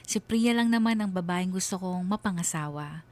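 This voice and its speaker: A man's voice: high voice, moderate pace, natural voice. An actor playing a troubled young boyfriend who narrates his love story in a reflective, confessional way for a radio drama. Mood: solemn, reflective.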